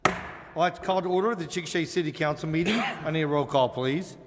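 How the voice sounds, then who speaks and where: A man chairing a council meeting with brisk procedural talk. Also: fairly high pitch. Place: city council chamber. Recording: echoey chamber, clear background noise.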